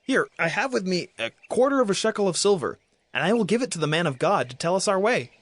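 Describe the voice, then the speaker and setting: An actor playing an eager, earnest male servant with a husky voice in a dramatized scripture. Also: sits high, very animated, fast, a bit bright, no echo, heavily acted.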